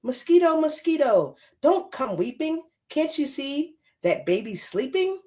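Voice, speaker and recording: A woman voicing an anxious storybook mother, pleading in a sing-song hush to protect her sleeping baby. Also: high-pitched, sing-song, unhurried, voice pushed up.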